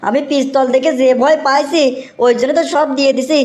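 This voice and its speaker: A woman voicing a broke, scheming young man in a cartoon, talking in a fast, whiny, wheedling way, with a Bogura Bangla accent. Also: high-pitched, steady tone, heavy background noise, close to an everyday voice. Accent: Bogura dialect of Bangla.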